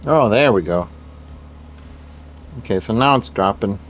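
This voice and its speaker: A man's voice: fairly low pitch, expressive, measured pace, slight background noise. Swedish man working in his garage. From Sweden. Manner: talks to viewers while working, thinking aloud. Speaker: car project vlogger. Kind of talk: casual garage work commentary. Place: home garage.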